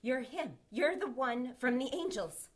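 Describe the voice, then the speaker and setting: A woman's voice: sits high, expressive, unhurried. An actress playing a volatile, uncanny young woman who pleads fearfully in an audio drama. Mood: terrified, pleading. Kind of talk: radio drama acting.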